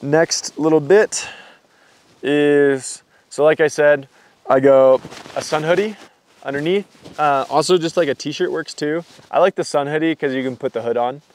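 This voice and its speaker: A man's voice: on the high side, unhurried. A young man casually walking through his hiking gear on camera, rambling and loose, with lots of fillers. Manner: rambling, filler-heavy, relaxed explaining. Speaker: hiking vlogger. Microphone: camera mic.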